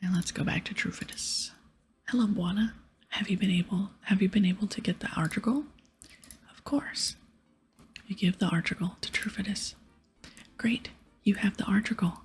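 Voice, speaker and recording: A woman speaking in a soft, breathy whisper close to the mic, giving gentle, casual ASMR gameplay commentary. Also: medium pitch, normal speed, long pauses. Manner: gentle, hushed, casual rambling. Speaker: ASMR gaming video creator. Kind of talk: ASMR whispered gameplay commentary with quest dialogue read aloud.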